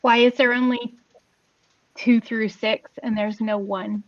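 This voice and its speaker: A woman's voice: a little high, level tone, slow pace, muffled recording. A woman chatting casually as a player in an online tabletop game. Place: online voice call.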